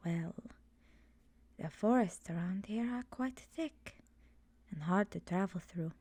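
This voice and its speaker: A woman voicing an ancient, immortal swamp witch in an ASMR roleplay, soft-spoken and often whispery, gently knowing and wistful. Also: fairly high pitch, no room sound. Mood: curious, gentle.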